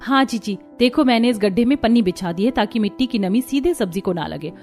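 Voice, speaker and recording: A woman's voice: fairly high pitch, animated, fairly quick, darkish tone, faint music behind. A woman voicing a thoughtful, hopeful youngest daughter-in-law in a cartoon, reasoning earnestly. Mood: hopeful, determined.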